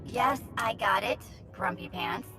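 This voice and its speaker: An actress playing a mischievous demolitions prankster in a video game, theatrical, teasing and playful. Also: female voice, medium pitch, takes it slowly, music in the background, natural voice. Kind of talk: video game cutscene acting.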